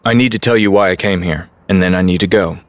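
An actor playing an earnest, awkward but stubborn young farm-bred superhero in an audio drama, speaking in a terse, stiff way. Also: male voice, low voice, quick pace, no room sound, natural voice. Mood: firm, defiant.

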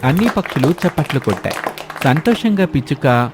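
A man's voice: on the high side, very animated, fairly quick, a crowd in the background, background noise. A man narrating a scripted children's story as the storyteller.

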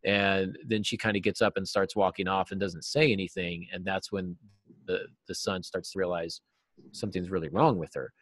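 A man in his forties giving earnest, explanatory answers as an interview guest, stressing key words deliberately. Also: deep voice, steady tone, fairly bright tone, no room sound. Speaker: writing teacher and novelist, interview guest. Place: small cluttered office, video call.

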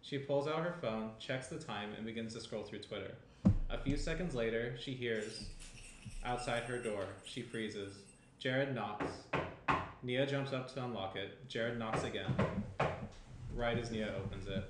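A man's voice: mid-range voice, unhurried. A young man narrating screenplay stage directions at a table read. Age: young adult. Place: small room at a table. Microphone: shared tabletop microphone. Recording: roomy indoor sound, clear background noise.

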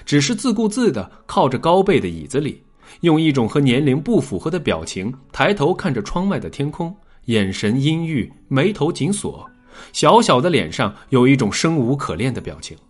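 A man narrating a dramatic scripted story in a measured, expressive storytelling manner. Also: mid-range voice, breathy edge. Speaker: audiobook narrator.

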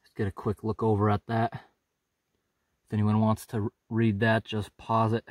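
Um homem gravando com câmera de mão enquanto caminha por uma trilha na floresta, comentando de forma descontraída e observadora o que encontra. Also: male voice, on the low side, unhurried, no echo. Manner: relaxed, observant, thinking aloud. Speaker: hiker filming a walking tour. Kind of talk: casual walk-and-talk narration. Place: outdoors on a forest trail. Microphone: handheld camera.

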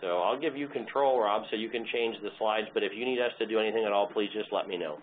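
A faintly noisy, narrow phone line carries a fairly low-voiced American man. He hosts a webinar informally. He talks haltingly and casually, with a light teasing manner. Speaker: webinar host. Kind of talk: informal introduction. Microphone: phone or web conference line.

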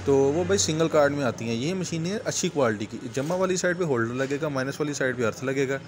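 A man's voice: medium pitch, animated, fast talker, noisy background. A middle-aged man, casually demoing a welding machine at his shop counter and calling viewers "brother". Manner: chatty, repeats "bhaijan" and "theek hai ji". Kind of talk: casual product demo.

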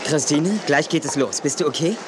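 A woman's voice: deep voice, brisk, noisy background, close to an everyday voice. An actress playing a friendly, supportive young woman in a children's audio drama. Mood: concerned.

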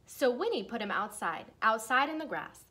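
A young woman reads a children's picture book aloud in an expressive, deliberate way for young listeners. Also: fairly high pitch, breathy edge. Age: young adult. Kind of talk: storybook read-aloud. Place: small decorated room indoors.

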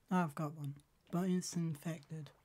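A woman streaming a game live, hoarse from a cold and often clearing her throat, talking in slow, halting asides to herself. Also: sits low, dark tone. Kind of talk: casual live game commentary.

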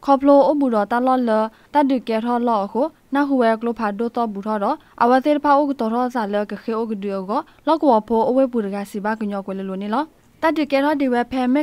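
Female news presenter, fairly high voice, scripted read, dry close sound. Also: darkish tone, dry recording.

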